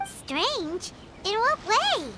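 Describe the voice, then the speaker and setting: A woman voicing a tiny, childlike fairy companion in a video game dub, blunt and inquisitive, with a squeaky voice. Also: huge pitch swings, drawn-out words, heavy background noise, heavily acted. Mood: puzzled, nosy. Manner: squeaky, blunt, refers to self in third person. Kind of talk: dubbed video game dialogue.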